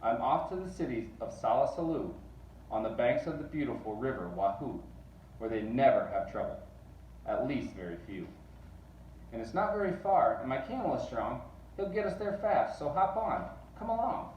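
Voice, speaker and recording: A man's voice: mid-range voice, animated, moderate pace, a little mellow, lightly acted. A man voicing a breezy, bossy, confident chap in a children's storybook. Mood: breezy, inviting. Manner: confident, persuasive, smug. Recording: distant mic, roomy echo, faint noise.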